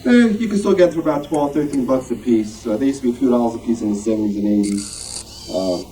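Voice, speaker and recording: A man's voice: mid-range voice, lively intonation, fast talker. A man speaking informally to a club at show and tell, rambling and self-deprecating in a conversational way. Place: club meeting room. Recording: distant mic, noisy room.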